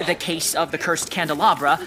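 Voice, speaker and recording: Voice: mid-range voice, steady tone, brisk, a crowd in the background, lightly acted. An actor playing a precocious, pompous middle-school would-be detective in a children's audio drama, speaking in formal, bookish language. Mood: pompous, lofty. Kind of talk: scripted children's audio drama, first-person narration and dialogue.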